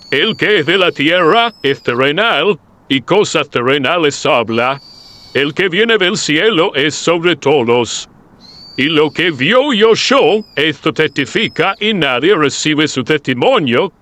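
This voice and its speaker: A man's voice: fairly high pitch, lively intonation, slow, bright, forceful tone, exaggerated voice. A man playing an earnest, humble prophet in a dramatized scripture audiobook, speaking in a solemn, declamatory way. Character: John the Baptist, earnest humble male prophet.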